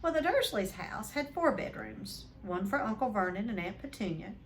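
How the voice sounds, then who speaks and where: A woman reading a children's novel aloud as an expressive storyteller. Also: medium pitch, normal speed, a little hiss or hum.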